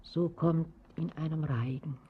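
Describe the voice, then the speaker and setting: An actress playing an old grandmother in a classic German radio drama, husky, solemn and wistful. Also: sits low, steady tone, a little slow, heavily acted. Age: older. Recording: dull, narrow-band old radio sound.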